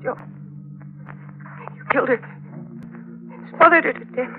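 A frightened, loyal young bride in an old radio mystery. Her voice is very high and pleading, with breathless melodrama, heard through a tinny vintage broadcast with music behind. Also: unhurried. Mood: alarmed. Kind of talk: 1940s radio mystery acting. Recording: narrow-band old radio recording with music.

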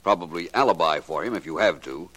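An actor playing a shrewd, composed detective in a 1940s radio drama, measured, assured and formally polite as he probes. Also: deep voice, a bit bright. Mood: cool probing.

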